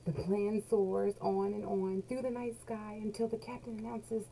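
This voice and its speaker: A woman's voice: medium pitch, gravelly. A middle-aged woman reading a children's picture book aloud expressively, drawing out key words in a small room at home. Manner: animated storyteller, deliberate, drawn-out key words. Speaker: children's book read-along host. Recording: noisy home webcam recording.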